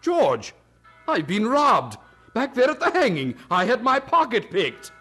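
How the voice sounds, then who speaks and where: A man's voice: extremely high pitch, wildly swooping pitch, a little slow, fairly bright tone, husky, faint music behind, exaggerated voice. An actor playing a genial, talkative, worldly man in an audio drama, with a chatty, stammering manner. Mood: flustered. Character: genial, talkative, blustering man, George's worldly host Tom.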